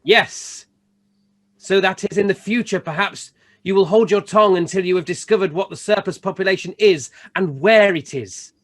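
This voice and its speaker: Man playing a high-voiced, solemn Christmas spirit. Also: fairly bright tone. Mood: stern rebuke. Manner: stern, deliberate, formal. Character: solemn, rebuking male Spirit of Christmas Present. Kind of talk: amateur radio play acting.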